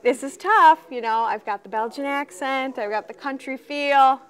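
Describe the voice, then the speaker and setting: A woman's voice: high-pitched, moderate pace. A young woman from northeastern Wisconsin, a community theater actress chatting casually in an interview, often laughing and trailing off.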